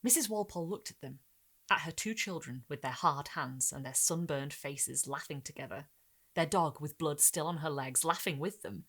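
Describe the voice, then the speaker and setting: A woman reading a gothic short story expressively, in a measured, dramatic way with careful phrasing. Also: sits low, fairly bright tone. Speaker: narrator and host.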